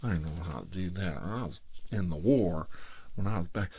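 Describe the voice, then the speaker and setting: A boastful, paranoid old father, as a male storyteller puts him on. His low voice rambles and grumbles with dramatic self-pity, recorded dry for an ASMR story. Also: normal speed, warm tone, slightly rough, dry recording.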